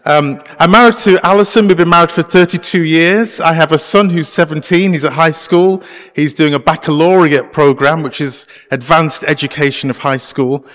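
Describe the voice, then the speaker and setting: A man's voice: fairly high pitch, normal speed, a bit bright, in a large hall. A middle-aged man from England with an English accent, preaching a conversational sermon with many fillers. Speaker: pastor. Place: church sanctuary.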